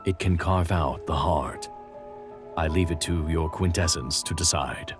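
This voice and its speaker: A man's voice: very deep voice, unhurried. A man playing a stern, devout sea-folk henchman who speaks formally and solemnly. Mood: stern, grave. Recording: loud music under the voice.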